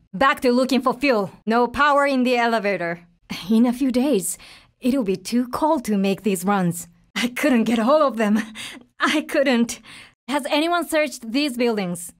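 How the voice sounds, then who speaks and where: A woman's voice: on the high side, natural voice. A woman playing a tough, determined young survivor of a zombie apocalypse in a video game, speaking in terse, urgent, businesslike lines. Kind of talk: video game voice lines, short dramatic callouts.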